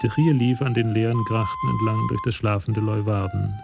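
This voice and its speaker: A man narrating a radio crime play in a measured storytelling manner. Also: lowish voice, fairly even intonation, vocal fry, over loud music.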